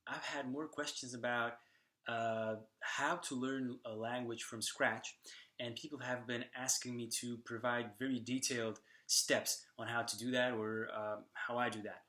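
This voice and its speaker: A young man whose first language is Slovak, talking to camera in a casual vlog about learning languages, pausing often with ums and restarts. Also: medium pitch, little pitch movement, bright, forceful tone. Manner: thoughtful, lots of ums and restarts.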